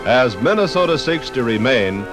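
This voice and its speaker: A man's voice: medium pitch, big pitch swings, normal speed. 1950s radio narrator, man, over music.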